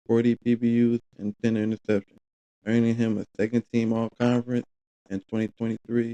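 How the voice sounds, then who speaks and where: A man hosts a podcast in a laid-back, casual way, his low voice gravelly and very dark. He keeps a flat, even tone while he asks short questions. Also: poor audio. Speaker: podcast host. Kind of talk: casual interview hosting.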